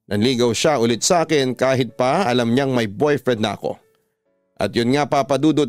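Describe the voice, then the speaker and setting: A man's voice: medium pitch, no room sound. A Filipino man with a dramatic storytelling delivery, narrating a first-person story as a radio drama host. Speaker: radio drama host reading listener stories. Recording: dry studio voice over music. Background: background music.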